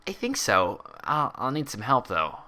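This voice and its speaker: A man's voice: medium pitch, huge pitch swings, unhurried, bright, forceful tone, pitched above the performer's own voice, full character voice. A man voicing a nervous, evasive young female pony in a storybook reading, with a hesitant, stammering delivery. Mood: dazed, hesitant.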